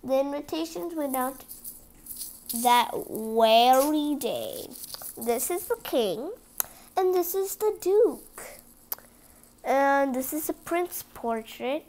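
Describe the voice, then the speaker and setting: A woman's voice: high-pitched, lively intonation, slow pace, pauses often, a little mellow, a little hiss or hum. A woman reading a storybook aloud for children, expressively, with chatty asides to her young listeners. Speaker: storybook reader.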